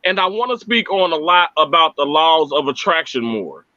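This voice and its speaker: A man's voice: fairly high pitch, big pitch swings, moderate pace, pushed and bright, no echo, dull audio. A man from Mississippi chatting on a live stream from his family home, upbeat, earnest and faith-filled. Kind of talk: casual live stream chat.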